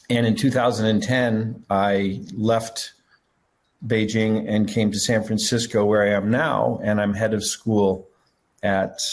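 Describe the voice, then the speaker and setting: A middle-aged man, a school head, telling his story on a panel over a video call with many pauses and restarts. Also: lowish voice. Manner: halting, many fillers and restarts. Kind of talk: panel talk.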